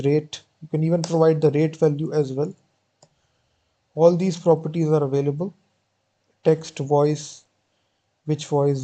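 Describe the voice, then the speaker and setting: A man's voice: on the high side, warm tone. A man walking through code step by step in a programming tutorial, often saying "actually" and "basically". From India. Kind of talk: screen-recorded coding walkthrough.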